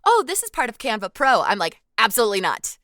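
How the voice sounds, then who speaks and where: A woman's voice: fairly high pitch, very animated, fairly bright tone, dry recording, studio quality. A woman co-hosting a podcast in casual chat, easygoing and agreeable, her voice still weak from a cough. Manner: easygoing, agreeable, quick to laugh. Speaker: podcast co-host.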